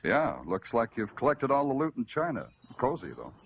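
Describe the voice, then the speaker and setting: A dry, hard-boiled detective. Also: male voice, medium pitch, big pitch swings, moderate pace, a little hiss or hum. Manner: terse hard-boiled narration, dry wit. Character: tough, wry male police detective narrator. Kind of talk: 1940s radio acting. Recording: lo-fi old radio recording with music.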